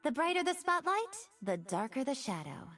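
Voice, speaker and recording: A woman's voice: high-pitched, very animated, normal speed, a bit bright, soft background music. An actress voicing a playful, confident female fox spirit pop star in video game voice lines, with a poised, teasing delivery. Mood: bright, determined.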